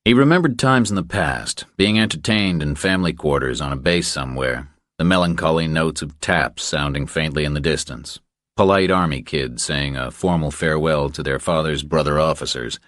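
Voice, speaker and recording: Audiobook narrator: man, very deep register, dry studio-close sound, scripted thriller read. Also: normal speed, fairly bright tone, dry recording.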